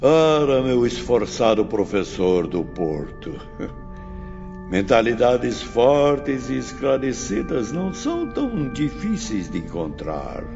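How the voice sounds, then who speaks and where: An actor playing a stern, learned spirit teacher in a dramatised audiobook, lecturing in a solemn, emphatic, oratorical way. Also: male voice, medium pitch, very animated, slow, gravelly, exaggerated voice. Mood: grave, instructive. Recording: muffled, long reverb, music behind.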